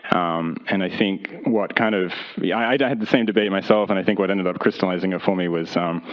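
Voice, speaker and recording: A man from Australia, a chemist, telling his career path on a panel, halting and repeating words. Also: low-pitched. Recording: dull lo-fi room recording.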